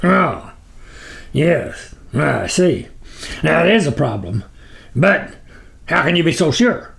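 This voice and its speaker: A man's voice: fairly high pitch, sing-song, slow, gravelly, fairly bright tone, exaggerated voice. A man voicing a pompous, impatient demon sublord, weary and scornful, in a storybook reading. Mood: weary, resigned.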